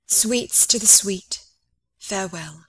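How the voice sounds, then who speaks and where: Woman acting slow, sorrowful queen. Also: medium pitch, sharp tone, husky, full character voice. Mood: tender sorrow. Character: queen, sorrowful, anxious mother. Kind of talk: dramatic Shakespeare audiobook reading.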